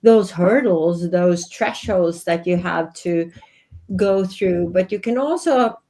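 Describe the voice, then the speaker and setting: A woman's voice: on the low side, slow, mellow. Swedish lady in her 50s, takes her time, earnest, on a video call from home. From Sweden. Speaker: guest. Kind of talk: reflective interview talk. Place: home office.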